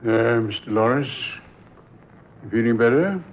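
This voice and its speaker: An actor playing a grave, solicitous family doctor in an old radio drama, measured and solemn. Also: male voice, medium pitch, lively intonation. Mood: grave, soothing. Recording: thin, phone-like old radio recording.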